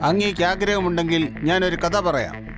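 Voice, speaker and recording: A man voicing a male vetala spirit who speaks formally and tells a tale in an animated storybook, with background music. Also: sits high, normal speed, lightly acted. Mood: storytelling. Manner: formal, courtly address.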